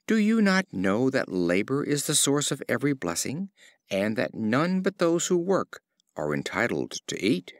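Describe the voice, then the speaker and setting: A hard-working master from a storybook, scolding and indignant, acted by a male narrator. His pitch swings up and down. The recording is dry. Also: wildly swooping pitch, measured pace, dry recording.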